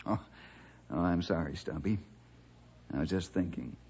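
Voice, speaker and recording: An actor playing an earnest, upright forest ranger in an old radio drama, speaking in a measured, fatherly way. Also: sits low, darkish tone, breathy edge. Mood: thoughtful. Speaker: ranger and lead character. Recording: dull, band-limited old radio recording.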